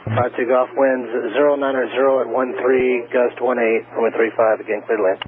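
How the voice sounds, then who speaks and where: A man's voice: mid-range voice, level tone, a little fast, phone-quality sound, crowd noise. An American man working as an air traffic controller, giving informal, reassuring instructions over the radio. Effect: radio transmission.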